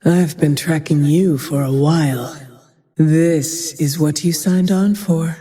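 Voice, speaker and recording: Grim female avenger archer for a fantasy game: slow, dark-toned, merciless and composed, in long reverb. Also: deep voice, steady tone. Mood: cold triumph. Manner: cold, brooding, measured, often dropping to a breathy whisper. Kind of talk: dramatic video game voice lines.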